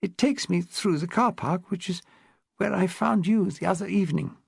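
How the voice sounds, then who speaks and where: A man voicing a frail, refined old woman over seventy who talks in a gently fussing, well-bred way. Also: high voice, moderate pace, creaky, slightly rough, no room sound, clean studio sound, pitched above the performer's own voice, exaggerated voice. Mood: chatty.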